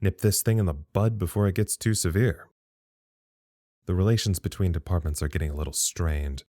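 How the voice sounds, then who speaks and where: An actor playing a weary, wry, stubborn psychologist at a secret facility in an audio drama, musing with dry humor. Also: male voice, deep voice, moderate pace, mellow, dry recording, natural voice. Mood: resolute. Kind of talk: audio drama acting, reflective monologue.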